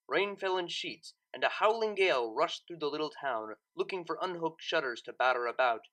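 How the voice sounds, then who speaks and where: A woman reading a scripted story aloud as narrator, with expressive delivery. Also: low-pitched, rough recording. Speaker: fan fiction narrator.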